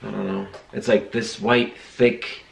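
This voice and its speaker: A man's voice: lowish voice, level tone, a little slow, vocal fry. A young man from Ukraine chatting casually and teasing his wife in a home vlog. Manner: joking, teasing. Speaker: vlogger's husband. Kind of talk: casual couple Q&A chat. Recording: echoey room, set back from the mic.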